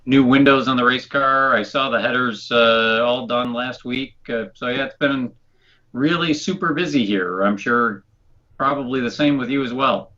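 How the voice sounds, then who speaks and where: A man's voice: mid-range voice, normal speed, sharp tone. A man co-hosting a talk show in casual chat, with a nasal, congested voice, on a dull, lo-fi recording. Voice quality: nasal, stuffed-up. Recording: dull, lo-fi sound.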